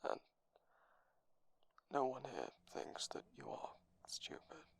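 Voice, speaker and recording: A man voicing a gentle, earnest robot computer boyfriend, speaking haltingly and sincerely in an ASMR roleplay. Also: mid-range voice, big pitch swings, creaky, breathy edge, no echo, pitched below the performer's own voice, full character voice. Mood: earnest, tender. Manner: halting, sincere, soft-spoken. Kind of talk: ASMR roleplay, whispered character speech.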